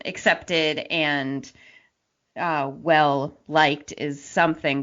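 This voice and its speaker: A woman's voice: low-pitched, a bit bright, highs cut off. A young woman hosting a podcast, chatting in an informal, encouraging way. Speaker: podcast host. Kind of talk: casual chat.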